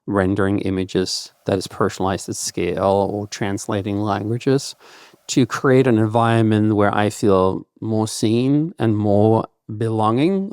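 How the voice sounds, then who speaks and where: Low gravelly man, Danish native. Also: darkish tone, dry recording, studio quality. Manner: loose and thoughtful, with many fillers like "kind of" and "like". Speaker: guest entrepreneur. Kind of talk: conversational podcast interview.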